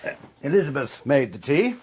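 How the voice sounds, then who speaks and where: An English actor playing a stiff, pedantic middle-aged male barrister who narrates in a dry, clipped, deadpan manner for a radio comedy drama. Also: fairly high pitch, fairly quick, a little hiss or hum.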